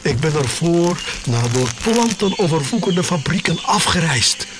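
An actor playing a sly, obsessive collector who narrates his own tale in an unhurried, savouring way for an audio drama. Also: a little high, sing-song, noisy background. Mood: savouring, proud. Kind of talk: scripted first-person audio drama narration. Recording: music bed under voice.

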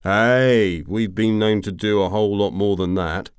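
A man's voice: lowish voice, steady tone, a little slow, smooth, no room sound, clean studio sound, voice pushed up, close to an everyday voice. Drawling, drunken mercenary commander played by a man. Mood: roguish, amused. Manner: drawling, rambling, tipsy. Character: drunken, grinning male mercenary commander, roguish boaster. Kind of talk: audiobook character acting.

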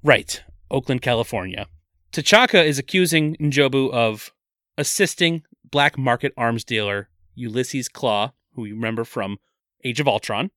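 A man's voice: mid-range voice, a little slow, fairly bright tone, no room sound, studio quality. A man co-hosting a casual movie podcast, retelling the plot with easy laughs. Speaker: podcast co-host.

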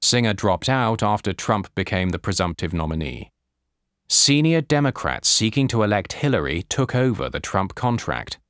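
A man reading a scripted nonfiction audiobook as narrator, with measured, deliberate phrasing. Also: fairly low pitch, big pitch swings, a bit bright, dry recording, clean studio sound.

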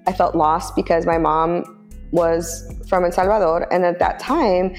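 Podcast guest, woman from Oakland, low and gravelly, thoughtful and laughs easily, roomy reverb. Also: steady tone, a little slow, a little mellow, faint music behind. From Oakland, California. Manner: reflective, many fillers and restarts, laughs easily. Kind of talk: casual podcast conversation.

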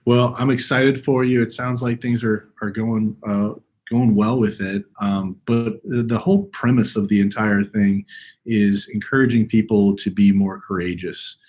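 A man in his thirties with a husky voice hosting a conversational podcast interview, making his points emphatically and restating them. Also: on the low side, fairly even intonation, moderate pace, a little mellow. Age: 30s. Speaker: podcast host.

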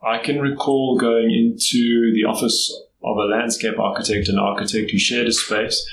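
A middle-aged man with a South African accent, answering interview questions in a thoughtful, measured way with pauses to choose his words. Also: mid-range voice, little pitch movement, fairly bright tone. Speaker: guest. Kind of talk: interview conversation. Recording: echoey room, faint background noise.

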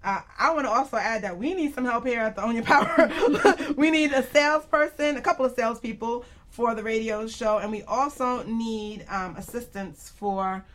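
Female radio host, fairly high voice, chatty and giggly, casual talk-show delivery. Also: moderate pace, hardly any pauses, fairly bright tone, slight background noise. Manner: chatty, giggly, laughs often.

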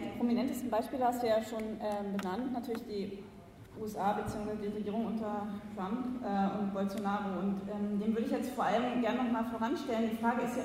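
A woman's voice: fairly high pitch, darkish tone, slight background noise. A woman speaking as a panelist in a discussion, thoughtful and with fillers. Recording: echoey hall, distant mic.

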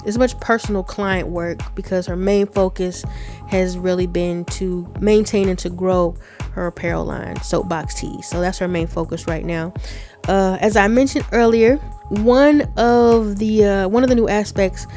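Woman podcast host, mid-pitched, brisk, faint music bed. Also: steady tone, darkish tone. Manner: brisk, spells out web addresses letter by letter. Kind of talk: podcast hosting.

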